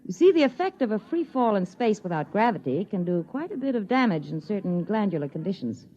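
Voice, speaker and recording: An actress playing a polite, efficient stewardess on a moon rocket in a 1950s radio drama. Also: female voice, medium pitch, big pitch swings, brisk, dark tone. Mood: concerned, officious. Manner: formal, efficient, official. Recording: dull 1950s radio recording.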